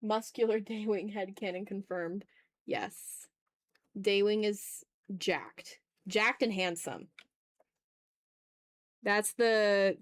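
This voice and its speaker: A woman's voice: medium pitch, no room sound. A woman hosting a casual art livestream, chatting in a playful, slangy, exclamatory way while she draws, close to the mic.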